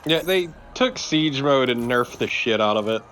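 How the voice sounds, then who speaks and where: Male gaming co-commentator, medium pitch, casual joking banter, soft game music in the back. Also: animated, normal speed. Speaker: let's play co-commentator. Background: video game music.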